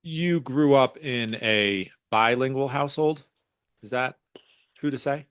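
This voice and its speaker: A man's voice: mid-range voice, measured pace, a bit bright, clear, resonant tone, dry recording, clean studio sound. A man from the United States hosting a podcast, asking short probing questions in casual conversation. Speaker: podcast host.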